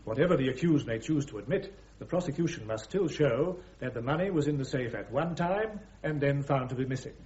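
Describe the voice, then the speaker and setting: Man acting a lively, precise British army prosecutor. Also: medium pitch, a little fast, natural voice. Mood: firm, restrained. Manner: formal, methodical questioning. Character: brisk, precise army major prosecuting at a court-martial. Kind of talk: full-cast radio drama acting. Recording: dull, muffled radio recording.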